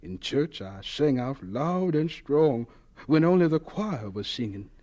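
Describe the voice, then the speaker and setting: A man acting in an audio drama as a gentle, earnest, humble young artist who works as a hotel cook, speaking in a heartfelt, sometimes hesitant way. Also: medium pitch, big pitch swings, unhurried. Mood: warm reminiscence.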